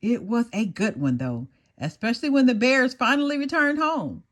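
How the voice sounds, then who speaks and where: Storybook role: woman acting a weary scout leader, high and animated, emphatic. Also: moderate pace. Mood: exhausted. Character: weary scout leader on a nature walk, well-meaning.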